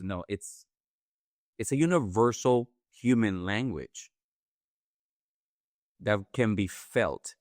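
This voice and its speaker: A man's voice: lowish voice, fairly bright tone, smooth, no echo, clean studio sound. A man with a Puerto Rican accent co-hosting a conversation about mental health, earnest and reflective as he thinks aloud. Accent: Puerto Rican Spanish. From Puerto Rico. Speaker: podcast co-host. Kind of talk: therapy-informed conversation.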